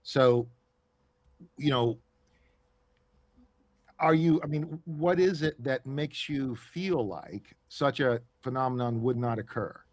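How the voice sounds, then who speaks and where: A man's voice: mid-range voice, takes it slowly, long pauses, no room sound. A man co-hosting a podcast discussion, asking probing questions with restarts. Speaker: podcast co-host.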